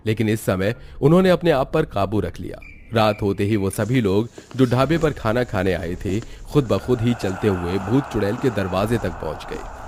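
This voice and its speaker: Lively, low-voiced male horror story narrator. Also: background noise. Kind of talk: dramatic scripted horror story narration for cartoon.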